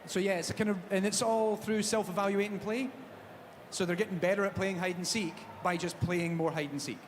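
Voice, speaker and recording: A man from Glasgow with a Scottish accent, hosting a live panel chat and telling jokey, animated stories on a theatre stage. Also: high voice, brisk. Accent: Glaswegian Scottish. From Scotland. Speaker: panel host. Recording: echoey hall, background noise.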